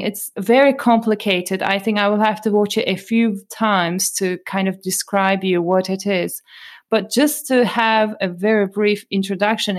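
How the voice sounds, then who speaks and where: Thoughtful woman from Turkey, podcast host. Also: mid-range voice. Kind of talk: conversational podcast talk.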